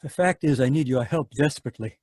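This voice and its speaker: A man's voice: mid-range voice, quick pace, a little mellow, no room sound, clean studio sound, natural voice. An actor playing a worried male psychiatrist in an old-time radio drama, stammering and anxious as he speaks. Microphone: home mic on a video call.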